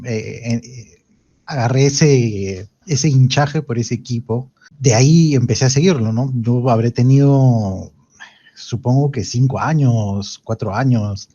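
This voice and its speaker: A man's voice: medium pitch, dark tone, dull audio. A man from Lima, Peru, with a Peruvian accent, chatting as a podcast guest in a hesitant way with many fillers. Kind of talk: casual podcast chat.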